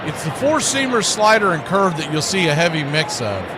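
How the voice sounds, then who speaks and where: A man's voice: a little high, big pitch swings, moderate pace, very noisy. A man calling a live baseball game as a radio play-by-play announcer. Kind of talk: live sports commentary.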